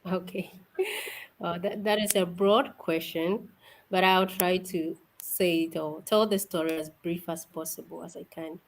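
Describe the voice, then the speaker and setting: A young woman from Ghana, a guest on an interview show, cheerful and soft-spoken, laughing often as she talks. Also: on the low side, steady tone, a little slow, rough recording. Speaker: guest, event organizer. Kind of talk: casual interview.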